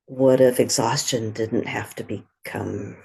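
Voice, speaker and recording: Woman, meditation guide, slow delivery, soft breathy husky tone, gentle and soothing, long pauses. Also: a bass voice, fairly even intonation.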